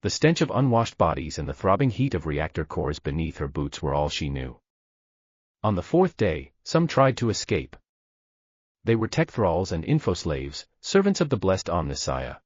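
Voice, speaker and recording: A man's voice: low voice, very animated, normal speed, breathy edge, no room sound. A man giving grave, dramatic narration of a science fiction story. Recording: dull dry lo-fi recording.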